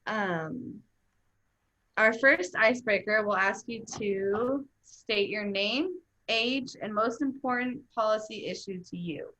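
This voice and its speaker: A woman's voice: mid-range voice, takes it slowly, low-quality recording. A young woman moderating a focus group over a video call, laying out rules and asking questions in an organized way. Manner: organized, reads rules aloud in a steady tone.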